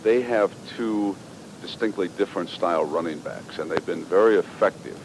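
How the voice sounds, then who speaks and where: An old radio broadcast with clear rumbling noise features a male sports commentator. His voice is deep. He interviews conversationally, mixing questions with his own views on the game. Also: moderate pace.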